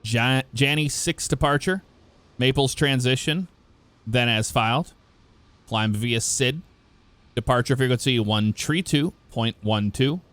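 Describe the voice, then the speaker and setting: A man's voice: mid-range voice, unhurried, fairly bright tone, dry recording. A man working as a ground controller, giving clipped, procedural air traffic control instructions. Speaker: air traffic ground controller in an online flight simulation network.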